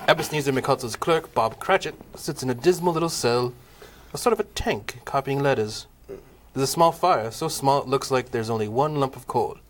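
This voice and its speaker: A man's voice: mid-range voice, moderate pace. Guy narrating a jokey play reading, mid voice, wry. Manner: wry, laughs at the cast. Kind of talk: comic table-read narration.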